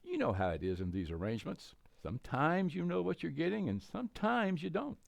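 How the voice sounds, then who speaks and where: A woman's voice: low voice, very animated, a little fast, gravelly, no room sound, heavily acted. A woman acting a brash, chatty, eager young man on a blind date in a radio comedy. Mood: cocky, eager.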